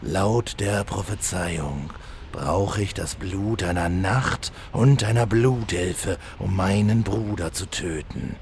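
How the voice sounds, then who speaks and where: Man playing a deep, slow, menacing demon hunter. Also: darkish tone, a little hiss or hum. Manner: slow, solemn, disdainful. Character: menacing male demon hunter seeking revenge on his brother. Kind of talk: parody fantasy audio drama acting.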